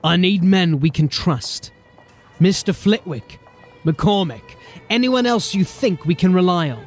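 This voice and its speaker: A man's voice: high voice, lively intonation, a little slow, soft background music. A man playing a grim, determined city watch officer in a fantasy audio drama, speaking in a terse, commanding way. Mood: resolute.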